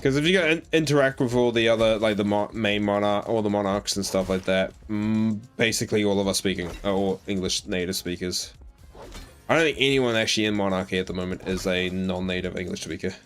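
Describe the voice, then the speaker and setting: A man's voice: fairly low pitch, fairly even intonation, fairly quick, clear, resonant tone, soft background music. A man with an Australian accent chatting casually on a live game stream, rambling with lots of fillers as he answers his chat. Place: home in New Zealand.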